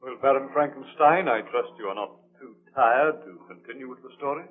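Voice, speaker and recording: A muffled old broadcast features an actor playing a courteous, inquisitive ship's captain. His medium-pitched voice asks questions with formal politeness in vintage radio drama style. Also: brisk, lightly acted. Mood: courteous, curious. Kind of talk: 1930s radio drama acting.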